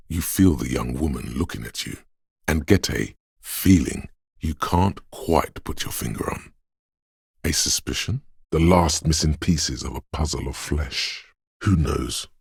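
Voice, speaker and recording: An actor voicing the eerie, melancholic inner voice of a detective's intuition in a video game, husky, poetic and ominous. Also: male voice, extremely low pitch, dry recording, studio quality, heavily acted. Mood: musing, eerie, then somber, foreboding. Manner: ominous, poetic, deliberate delivery. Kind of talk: video game voice acting, second-person dramatic narration.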